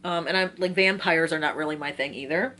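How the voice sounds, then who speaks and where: A woman's voice: sits low, a little fast, sharp tone, a little hiss or hum. A woman in her twenties talking casually and informally to viewers as a book vlogger, giggly and often interrupting herself. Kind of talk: casual chatty book list talk to viewers.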